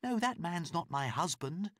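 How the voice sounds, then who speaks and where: A man reading a storybook, voicing a frightened captive woman who pleads in a high, anxious voice. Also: very animated, unhurried, fairly bright tone, no echo, studio quality. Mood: anxious pleading.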